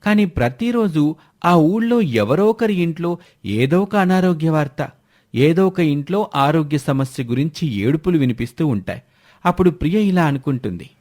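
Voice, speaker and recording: A man's voice: on the high side, animated, normal speed, a little mellow. A man narrating a scripted moral family story as the storyteller.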